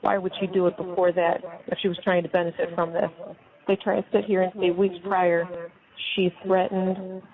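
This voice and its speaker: A woman's voice: on the low side, level tone, normal speed. A middle-aged American woman talking and reading aloud casually on a phone call, blunt and indignant, stumbling now and then. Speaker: mother on a jail phone call. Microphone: speakerphone. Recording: muffled phone line on speakerphone, chatter behind.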